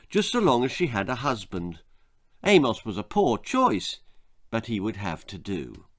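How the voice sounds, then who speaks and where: Male storyteller for grisly children's tales: theatrical, very unhurried, highly expressive intonation. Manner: theatrical, deliberate. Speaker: author and storyteller. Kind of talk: dramatic children's story reading.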